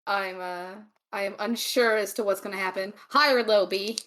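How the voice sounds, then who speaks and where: A woman's voice: mid-range voice, fairly even intonation, moderate pace, fairly bright tone. A woman narrating casual tabletop roleplay in a hesitant, playful way.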